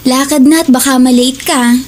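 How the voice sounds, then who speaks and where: A woman's voice: high-pitched, fairly even intonation, normal speed, darkish tone, slight background noise, lightly acted. A woman with a Filipino accent playing a caring, wary housewife and mother in an audio drama. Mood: caring, reminding.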